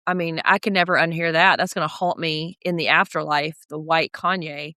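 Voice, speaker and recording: A woman with a slightly deep voice, co-hosting a podcast in sardonic, chatty recap talk. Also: moderate pace, no room sound, clean studio sound. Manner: chatty, sardonic, animated asides. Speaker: podcast co-host. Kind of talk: casual recap chat.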